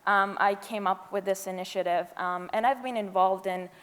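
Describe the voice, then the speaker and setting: A woman's voice: medium pitch, steady tone, a bit bright. A nervous student in her twenties, a woman from Afghanistan who grew up in Pakistan, giving a hesitant, informal speech. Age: 20s. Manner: hesitant, halting. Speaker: university student speaker. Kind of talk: informal, unscripted public speech. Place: large indoor event hall. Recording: echoey hall, long reverb.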